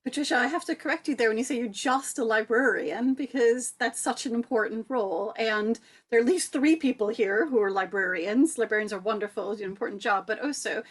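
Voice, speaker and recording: A woman's voice: on the high side, fairly quick. A woman editor hosting an online book launch, speaking in an encouraging way. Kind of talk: casual online event chat. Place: online video call.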